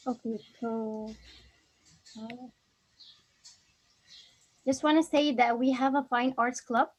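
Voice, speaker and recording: Young woman, giggly video call chat. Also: fairly high pitch. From Egypt. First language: Arabic. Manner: friendly, giggly, slightly halting. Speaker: honors student, club vice president.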